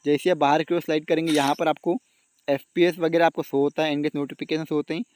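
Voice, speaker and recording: A man's voice: fairly high pitch, level tone, fast talker, a little mellow, a little hiss or hum. A man hosts an informal step-by-step phone tutorial, repeating stock phrases and often addressing his viewers directly. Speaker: YouTube tech tutorial host.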